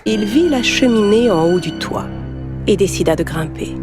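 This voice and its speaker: A woman's voice: mid-range voice, lively intonation, moderate pace. A woman storyteller reading a scripted fairy tale for young children. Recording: music behind the voice.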